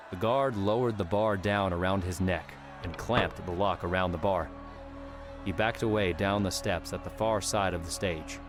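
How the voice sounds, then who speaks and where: A man narrating an audiobook in a measured, dramatic storytelling manner. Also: sits low. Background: background music.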